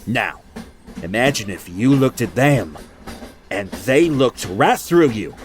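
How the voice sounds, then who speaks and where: Expressive, curious train crewman played by a man, noisy background. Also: mid-range voice, takes it slowly. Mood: earnest, wistful. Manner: curious, reflective, probing. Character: thoughtful, questioning male steam train crewman. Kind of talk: amateur audio drama acting.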